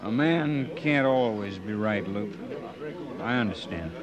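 Slow-talking proud cowboy. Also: male voice, lowish voice, lively intonation, dull audio, over music. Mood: easy, candid. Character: proud, stubborn cowboy, quick to anger. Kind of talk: 1950s radio western acting. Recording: vintage radio drama recording.

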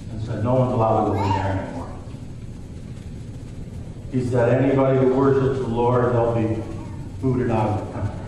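A middle-aged man preaching a sermon in a church sanctuary, telling the story in a conversational way and voicing the characters he quotes. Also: medium pitch. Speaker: pastor. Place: church sanctuary, large room. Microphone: far camera at back of church. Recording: distant roomy church recording with hiss.

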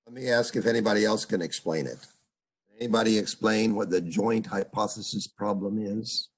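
An older man teaching an online seminar as a professor, speaking haltingly with many fillers and stopping often to put questions to his students over a video call. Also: lowish voice, slightly rough. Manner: halting, full of uhs, asks students questions. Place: home room on a video call. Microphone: video call computer mic.

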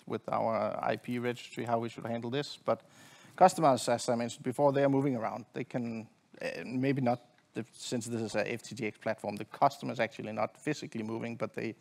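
A middle-aged man giving a technical presentation from a conference stage in a halting, hesitant way. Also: mid-range voice, creaky. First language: not Swedish. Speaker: conference presenter, network engineer. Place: conference stage at a lectern, indoors.

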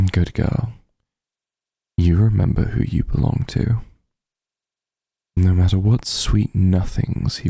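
A man voicing a possessive, teasing vampire mafia heir in an intimate ASMR roleplay, husky and gravelly, with a condescending drawl. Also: on the low side, dark tone, no room sound, studio quality, full character voice. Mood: possessive, commanding. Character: possessive, teasing male vampire mafia heir, coolly menacing yet doting.

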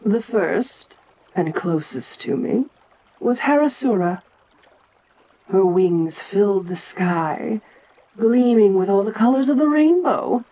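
A woman playing an ancient, imperious giant monster queen in an audio roleplay, speaking in a regal, deliberate and musing manner with frequent pauses. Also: medium pitch, expressive, dark tone, low-quality recording. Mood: fond, wistful. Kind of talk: slow in-character audio roleplay monologue.

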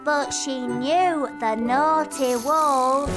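A woman voicing an excitable, bossy little girl princess in a preschool cartoon, with childlike, emphatic delivery. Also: high voice, extremely slow, over loud music. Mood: eager, showy. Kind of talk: preschool cartoon acting, theatrical announcing.

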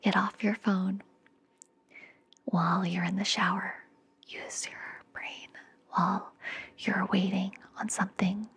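A young woman whispering gently and reassuringly in a soothing, breathy ASMR style, close to the microphone. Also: medium pitch, flat delivery, slow pace, long pauses. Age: young adult. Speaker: ASMR podcast host. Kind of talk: ASMR, soothing guided relaxation and affirmations. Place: indoor room, close up. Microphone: fluffy-covered microphone very close to the mouth.

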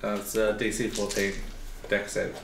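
A man at a tabletop game, calmly explaining the rules to the other players. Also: on the low side, normal speed, creaky. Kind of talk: casual game chat. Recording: echoey room, faint noise.

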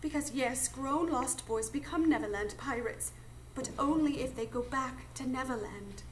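A woman's voice: sits high, normal speed, a little hiss or hum, close to an everyday voice. An actress playing an earnest, defiant grown woman with a burdened, impassioned way of speaking in a live radio drama. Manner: impassioned, protesting.

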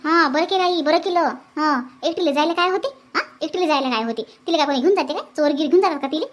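A woman's voice: high voice, quick pace, lightly acted. A woman voicing a complaining, gossipy village woman in a comedy cartoon, with a Varhadi Marathi accent and repeated exclamations. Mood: scornful, grumbling. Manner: repeats phrases, exclaims often. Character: complaining, gossipy village mother-in-law and her grumbling married daughter.

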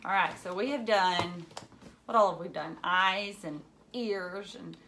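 A woman in her thirties reading a children's book aloud like a teacher, with expressive asides and questions to young listeners. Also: on the low side, unhurried, slight background noise. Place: indoors, living room at home.

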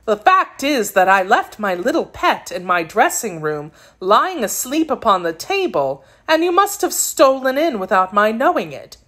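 A woman's voice: mid-range voice, fairly bright tone. A woman voicing a youthful princess ruler in a children's storybook, formal, composed and firm. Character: youthful princess ruler, polite but firmly commanding.

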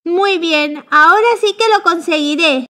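A squeaky little cartoon witch girl. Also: measured pace, exaggerated voice. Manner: bright, high and excitable. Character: cheerful little girl witch, clumsy and eager. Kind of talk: cartoon voice acting. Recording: faint music behind.

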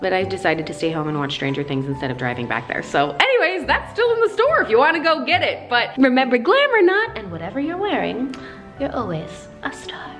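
Young female vlogger, very lively pitch, exclamatory and playful, chatty shopping-haul delivery into a handheld camera. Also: fairly quick, faint music behind. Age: young adult. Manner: playful, exclamatory, theatrical asides. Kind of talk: casual shopping vlog. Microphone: handheld camera at arm's length.

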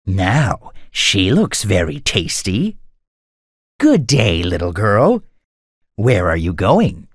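A big bad wolf from a children's cartoon, hungry and scheming. He talks low and slowly in a sly, menacing way, in a very dry, close recording. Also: huge pitch swings, no echo, full character voice. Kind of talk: children's animated story acting.

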